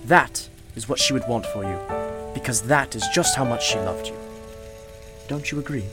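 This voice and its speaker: An actor in a radio play voicing a mysterious, wise gentleman shopkeeper, formal, courteous and reassuring. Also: mid-range voice, moderate pace, loud music behind the voice, natural voice. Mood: earnest reassurance.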